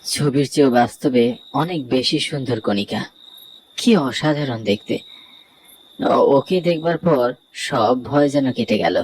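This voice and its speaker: A man's voice: mid-range voice, measured pace, long pauses, low-quality recording. A man voicing a frightened young man in a horror cartoon, telling his tale in a dramatic, bewildered way. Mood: dazed. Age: young adult. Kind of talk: Bengali horror cartoon narration.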